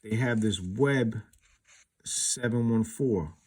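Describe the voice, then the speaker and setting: A man's voice: on the low side, slow, darkish tone, slightly rough. A man giving a casual, unscripted comparison as a collector hosting his own video, explaining things in an informal, rambling way. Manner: informal, rambling, explanatory, peppered with you knows.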